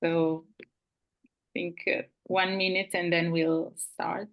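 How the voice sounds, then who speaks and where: A woman from Italy with an Italian accent, hosting and presenting an online webinar over a video call, halting and restarting as she searches for words. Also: lowish voice, flat delivery, gravelly, highs cut off. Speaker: webinar host and project manager.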